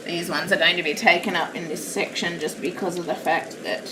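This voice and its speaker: A woman chatting casually while live-streaming a craft hobby from home, rambling and self-deprecating, with a naturally loud voice. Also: lowish voice, fairly quick. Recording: roomy, distant mic, steady background noise.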